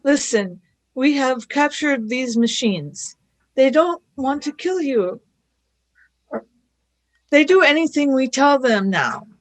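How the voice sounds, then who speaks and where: Woman playing a high-voiced plucky young girl. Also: lively intonation, slow pace, pauses often, poor audio. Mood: insistent. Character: plucky, eager young girl companion, stubborn and earnest. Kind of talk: radio play acting.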